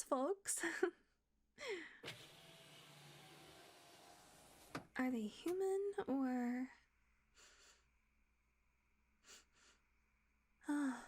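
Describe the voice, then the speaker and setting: A woman's voice: high voice, slow pace, darkish tone. A woman playing a wry, glib vampire fledgling in an audio roleplay, sardonic and placating. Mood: wry, casual. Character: wry female vampire fledgling, flattering her mistress.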